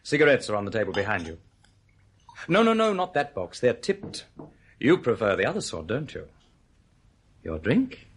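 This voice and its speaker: An actor playing an urbane, teasing, over-polite man with a husky voice and an air of menace, in a radio play. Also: lowish voice, huge pitch swings, normal speed, pauses often, exaggerated voice. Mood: suave, teasing. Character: suave, teasing, quietly menacing male host who knows too much.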